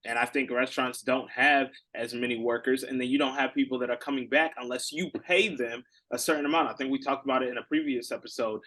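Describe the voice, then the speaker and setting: A man's voice: medium pitch, steady tone, bright, forceful tone. A man co-hosting a podcast in casual, teasing, talkative chat. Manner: teasing, talkative, drawn-out explanations. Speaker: podcast co-host.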